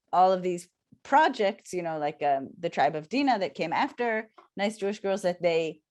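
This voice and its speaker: Woman, Hebrew, lowish voice; thoughtful, hesitant delivery on a video call. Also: a little mellow. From Israel. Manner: thoughtful, halting, many fillers. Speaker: academic interviewer. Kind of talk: reflective conversation.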